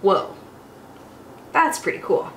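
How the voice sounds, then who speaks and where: A young woman hosting storytime as a teacher, reading aloud to small children in an expressive, exclamatory way. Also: slow. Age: young adult. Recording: roomy echo, faint background noise.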